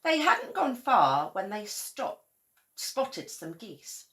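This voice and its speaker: A woman reading a children's story aloud in a measured, expressive storytelling manner, like a primary school teacher. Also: on the high side.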